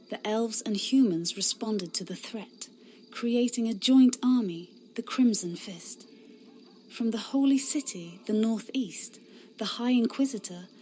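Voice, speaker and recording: A woman's voice: medium pitch, warm tone, a little hiss or hum, natural voice. A woman voicing a young, zealous female inquisitor in a game cutscene, speaking in an earnest, formal and fervent way. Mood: solemn. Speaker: narrator and game character.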